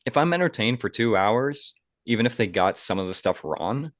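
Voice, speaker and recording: A man's voice: mid-range voice, animated, normal speed, no echo, studio quality. A man speaking casually on a podcast, sharing his opinions in a conversational way. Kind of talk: casual podcast chat, giving film opinions.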